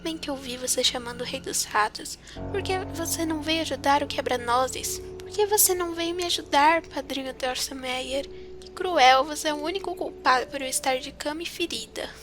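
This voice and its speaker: A frightened little girl played by a woman pleads in a breathy, high near-whisper from a little distance. The audio drama lays soft music under her. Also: moderate pace, lightly acted. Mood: accusing. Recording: music underneath, voice set back.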